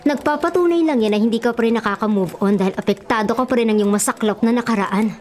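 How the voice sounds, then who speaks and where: A woman's voice: a little high, quick pace, over loud music, lightly acted. An actress playing a candid, nagging woman giving concerned advice in a Filipino radio drama, questioning and admonishing. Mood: chiding, persuasive.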